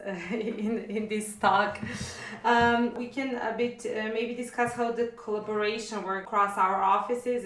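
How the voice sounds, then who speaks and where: Serbian-accented woman, even and roomy. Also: mid-range voice. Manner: hesitant, many fillers. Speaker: lawyer and podcast co-host. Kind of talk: casual conversation. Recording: roomy echo.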